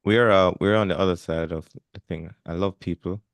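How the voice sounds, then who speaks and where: A man's voice: deep voice, fairly even intonation, brisk, no echo. A man from Jamaica hosting a podcast, asking questions in a casual interview and often murmuring agreement while his guest talks. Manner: warm listener, frequent murmured agreement. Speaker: podcast host.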